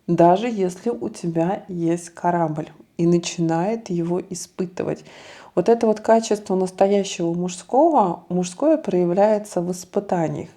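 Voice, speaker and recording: A woman co-hosting a relaxed discussion, talking in a reflective, unhurried way. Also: mid-range voice, vocal fry. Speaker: co-host discussing a fairy tale. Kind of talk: casual analytical discussion.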